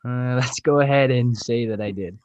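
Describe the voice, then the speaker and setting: A man playing an embarrassed, defensive high school boy, halting and exasperated, in a comedy table read. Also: a little mellow, no room sound, muffled recording, natural voice. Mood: awkward.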